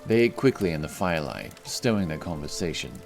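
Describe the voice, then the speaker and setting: A man's voice: extremely low pitch, big pitch swings, brisk, gravelly. A man reading scripted fantasy story narration as the narrator of an audio drama. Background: music.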